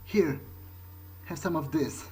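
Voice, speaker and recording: A man with a slightly high voice improvises as his game character, thinking out loud. He is hesitant and repeats himself. Background noise and ambience sit behind him. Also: slow. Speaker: gameplay host, role-playing his character.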